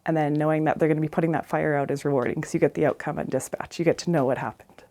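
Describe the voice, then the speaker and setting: A woman's voice: sits low, steady tone, racing, mellow. A middle-aged woman with a Canadian accent giving an informal, plain-spoken career talk as an emergency dispatcher.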